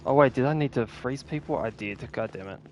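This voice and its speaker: A man's voice: medium pitch, a little fast, a little hiss or hum. A man giving casual gameplay commentary, musing offhandedly to himself as he plays. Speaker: gaming streamer. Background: game music.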